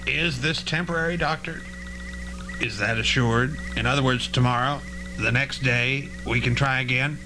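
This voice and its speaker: A man playing an insistent, authoritative military general in an old-fashioned radio drama, with formal, brisk questioning. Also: mid-range voice, steady tone, music in the background, lightly acted. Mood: concerned, secretive. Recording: noisy old tape with hum.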